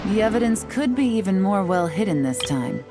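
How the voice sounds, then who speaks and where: A woman's voice: mid-range voice, big pitch swings, fairly quick, over music. An actress playing a shrewd, composed female investigator in a video game, speaking in an articulate, methodical, confident way. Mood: measured, focused. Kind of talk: scripted game dialogue.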